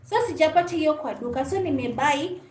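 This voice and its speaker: A woman with a Kenyan accent and a high voice hosts a casual livestream. She talks in a friendly, conversational way. The room gives her voice a clear echo, with a little noise underneath. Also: expressive, brisk, darkish tone. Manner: friendly, mixes English and Swahili, often thanks and greets viewers. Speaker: livestream host. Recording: echoey room with faint background noise.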